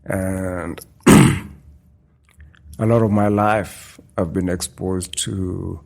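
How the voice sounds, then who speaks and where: A man's voice: low-pitched, fairly even intonation, creaky, darkish tone. A man from Kenya, a guest photographer talking thoughtfully and unhurriedly in an interview. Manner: thoughtful, unhurried, repeats phrases. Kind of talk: casual interview talk. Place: indoors at a culture centre.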